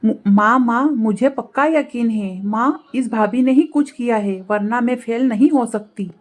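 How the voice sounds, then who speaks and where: A woman's voice: a little high, unhurried. A woman voicing a spoiled, insolent young bird daughter in a cartoon story, with a whiny, dismissive manner. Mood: indignant. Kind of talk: animated moral story acting.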